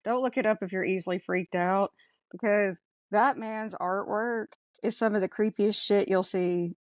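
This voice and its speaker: A woman co-hosts a podcast in a dry, close-sounding recording. Her pitch sits in the middle of the range. She talks informally and with emphasis, as in a casual chat. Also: fairly even intonation, normal speed, dry recording. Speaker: podcast co-host. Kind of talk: casual chat about a sci-fi film.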